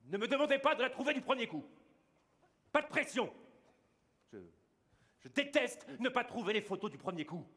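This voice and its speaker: Brief: high-pitched man, emphatic and exhorting, long hall reverb. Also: big pitch swings, moderate pace, pauses often, bright, forceful tone. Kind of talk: theatrical declamation.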